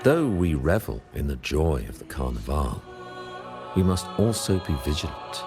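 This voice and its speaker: An actor voicing a stern, solemn religious ruler in a video game, with formal, ceremonial and pompous oratory. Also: male voice, extremely low pitch, very animated, normal speed, music in the background, full character voice. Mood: solemn, measured.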